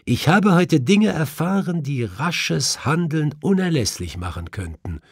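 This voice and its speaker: Audiobook detective read by a man: commanding, courteous but brisk, raised husky voice, emphatic delivery. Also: a little high, sing-song, no room sound, clean studio sound, voice pushed up, heavily acted. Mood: secretive, warning. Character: brisk, commanding male detective, urgent and superior.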